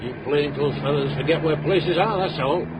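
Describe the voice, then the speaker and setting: An actor playing a cheeky, folksy local police driver in a radio drama. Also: male voice, a little high, moderate pace, very noisy, close to an everyday voice.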